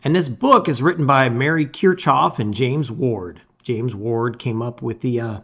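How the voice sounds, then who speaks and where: Expressive man, slightly dull recording. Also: mid-range voice, a little slow, vocal fry, slightly rough. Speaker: host reading a gamebook aloud. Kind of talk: casual intro and dramatic read-aloud of storybook narration.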